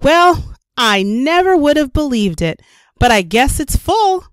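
A woman's voice: sits high, sing-song, a little slow, dry recording, pitched above the performer's own voice. A woman voicing a hard-working, tired mother in a children's storybook, plain-spoken and emphatic. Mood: amazed.

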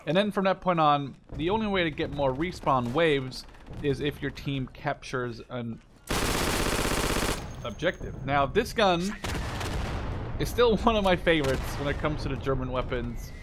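Male, 20s, slightly high voice; casual gamer host who rambles and self-corrects, with gunfire and clicks behind him. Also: expressive, a little slow, noisy background. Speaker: gameplay video host. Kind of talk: casual live gameplay commentary. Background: video game gunshots, machine guns, clicks.

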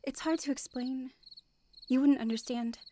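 An actress playing an anxious, earnest young wife terrified of death, in a radio drama. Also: female voice, sits high, fairly even intonation, normal speed, mellow, slight background noise, natural voice. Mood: worried. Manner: earnest, fretful. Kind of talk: radio drama acting and narration.

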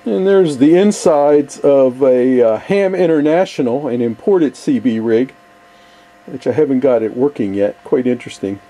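Older man, mellow rambling hobbyist. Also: medium pitch, normal speed. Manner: rambling, hesitant, easygoing. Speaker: ham radio hobbyist, video host. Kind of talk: casual workshop show-and-tell. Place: cluttered indoor basement workshop.

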